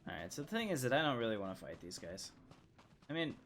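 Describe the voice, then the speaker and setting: A man with a mid-pitched voice gives informal video game commentary. He talks through his play as he goes, thinking aloud in a casual streamer style. Game music is clearly audible behind his voice. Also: sing-song, fast talker, fairly bright tone. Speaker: gaming streamer.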